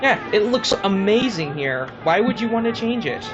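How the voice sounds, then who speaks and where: A woman's voice: mid-range voice, big pitch swings, moderate pace, lo-fi sound, over music, a crowd in the background. A woman co-hosting a let's play, giving casual gameplay commentary in a hesitant, conversational way.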